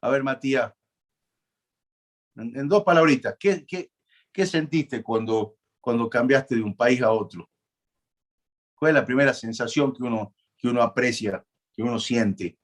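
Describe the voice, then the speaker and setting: A man delivers a university law lecture at a moderate, middle pitch. He speaks conversationally, pausing on fillers and restarting phrases. He keeps turning to the class with questions. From Argentina.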